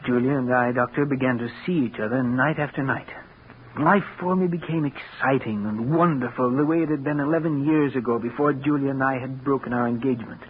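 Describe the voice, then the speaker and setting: Casting: actor for quietly confessing killer husband, polite, mid-pitched man, 1940s radio acting, narrow tinny recording. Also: a little fast, a little hiss or hum, lightly acted. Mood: reflective, untroubled. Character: soft-spoken murderer husband confessing his crime, outwardly polite. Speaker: actor narrating in first person.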